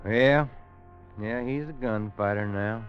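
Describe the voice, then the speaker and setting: An actor playing a loyal, fatherly old frontiersman with a rustic American drawl and halting folksy speech in an old-time radio Western. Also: on the low side. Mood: somber, reflective. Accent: American Southern or Western drawl. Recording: dull vintage radio recording with music.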